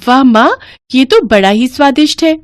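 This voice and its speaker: A woman's voice: sits high, expressive, normal speed, dry recording, pitched above the performer's own voice. A woman voicing an innocent, cheerful young village girl, an eager and loving daughter in a cartoon story. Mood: delighted. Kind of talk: animated moral story dialogue.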